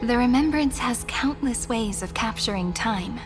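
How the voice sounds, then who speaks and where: An actress playing a calm, formal female chronicler in a game. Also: on the high side. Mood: solemn. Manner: slow, solemn and formal. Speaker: game character. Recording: game cutscene with music underneath.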